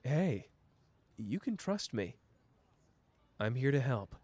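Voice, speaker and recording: A man doing a slow, flirtatious charmer. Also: medium pitch, big pitch swings, a little mellow, no room sound.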